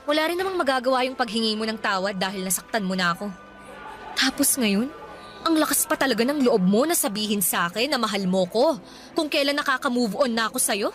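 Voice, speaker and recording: An actress voicing a hurt young woman who confronts the man who lied to her, with sharp, reproachful retorts in a radio drama. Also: fairly high pitch, lively intonation, a little fast, faint music behind. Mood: bitter, hurt. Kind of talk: Tagalog radio drama acting.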